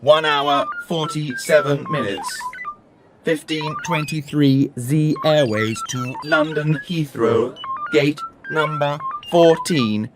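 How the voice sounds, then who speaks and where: A man's voice: on the high side, slow pace, over music, voice pushed up. A man voicing a polite airport helper robot with precise, mechanical delivery in a children's story. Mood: flat, helpful.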